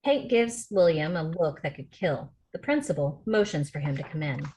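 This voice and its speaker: Woman narrator, stage directions, fairly low voice, steady matter-of-fact reading, video call. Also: moderate pace, poor audio. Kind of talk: table read narration of a script.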